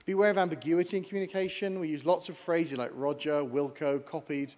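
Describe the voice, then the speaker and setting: A man with a British accent giving a brisk conference talk from slides, explaining to an audience. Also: fairly high pitch, fairly even intonation, darkish tone. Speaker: panel speaker on air traffic communication. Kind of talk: conference presentation. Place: large conference hall. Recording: long hall reverb.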